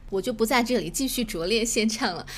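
Female language teacher, native Chinese speaker, higher-pitched voice, chatty step-by-step explainer. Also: quick pace, very noisy. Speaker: English teacher and podcast host. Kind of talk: explanatory language lesson, reading lines aloud and glossing them.

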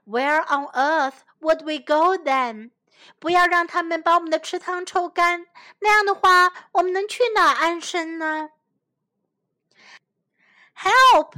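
A woman's voice: very high voice, brisk, few pauses, pushed and bright. A woman voicing frightened little wild animals in a children's story, pleading and exclaiming for help. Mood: panicked pleading.

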